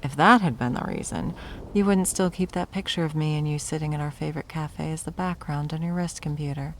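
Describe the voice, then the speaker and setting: Woman acting a low, creaky-voiced possessive corporate ex-girlfriend. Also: a little hiss or hum. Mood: smug, insistent. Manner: taunting, drawn-out endearments, dropping into breathy whispers. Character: possessive, wealthy corporate ex-girlfriend, coldly menacing and cloyingly sweet. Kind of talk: intimate ASMR roleplay, dramatic monologue.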